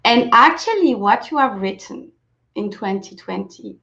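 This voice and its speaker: A woman from France with a French accent, a native French speaker, leading an interactive coaching webinar in a gently encouraging way and thanking participants for sharing. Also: medium pitch, expressive, a little slow, vocal fry. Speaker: self-leadership coach. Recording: lo-fi webinar audio.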